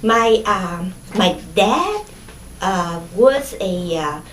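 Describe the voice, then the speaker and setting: A middle-aged woman from Vietnam speaks English with a Vietnamese accent, recalling her early life for an oral history interview. She talks slowly, stopping often for fillers and restarts. The room is echoey, with faint ambience behind her. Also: mid-range voice, expressive, a bit bright, a little hiss or hum. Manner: hesitant, many fillers and restarts.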